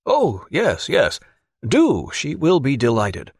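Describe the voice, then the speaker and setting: A man voicing a genial, self-assured wealthy gentleman in an audiobook, urbane, expansive and courteous. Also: medium pitch, huge pitch swings, slow, vocal fry, husky, no room sound, studio quality, exaggerated voice. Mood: genial, brisk. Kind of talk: audiobook character voice.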